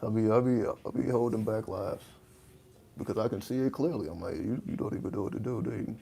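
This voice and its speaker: Gravelly deep-voiced man musing casually. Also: warm tone. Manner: laid-back, profane, streetwise slang, drawn-out phrasing. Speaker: channel host. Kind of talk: casual unscripted monologue.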